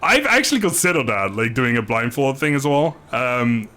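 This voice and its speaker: A man's voice: medium pitch, sing-song, normal speed, pushed and bright. A man casually commentating a video game on a live stream, chatting with viewers in a rambling, good-humoured way.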